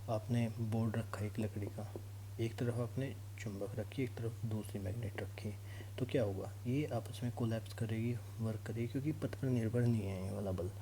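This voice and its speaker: Man, mellow voice, instructional, checks understanding often, faint hum and noise behind. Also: on the low side, poor audio. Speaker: physics teacher for exam students. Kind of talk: informal classroom lesson, explaining to students. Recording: clear background noise with hum.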